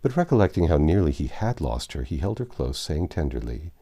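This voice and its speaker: A man's voice: rumbling low, sing-song, fairly quick, dark tone, breathy edge. A man reading a classic novel aloud as an audiobook narrator, in a scripted literary style.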